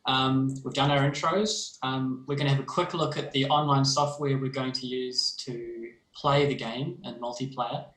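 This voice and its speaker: A man's voice: mid-range voice, fairly even intonation, normal speed, few pauses, fairly bright tone. A man from New Zealand with a New Zealand accent, a game developer chatting casually on a livestream and explaining plans with frequent ums. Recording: roomy echo.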